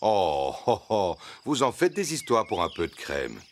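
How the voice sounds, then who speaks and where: A man's voice: on the low side, big pitch swings, unhurried, a little hiss or hum. A man voicing a big, jolly, bumbling daddy pig in a children's cartoon, hearty and good-humoured. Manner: jovial, hearty, chuckling.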